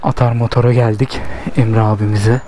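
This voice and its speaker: A man riding a motorbike and chatting excitedly to the camera, joking and cheering at the road. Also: mid-range voice, moderate pace. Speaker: motovlogger. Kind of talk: casual running commentary while riding. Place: on a motorbike on a highway, then a repair shop. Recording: loud engine and wind noise.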